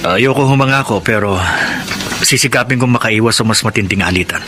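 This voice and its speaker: An actor playing a troubled but respectful married contractor in a Filipino radio drama. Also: male voice, fairly low pitch, brisk, noisy background, close to an everyday voice. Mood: troubled, resigned.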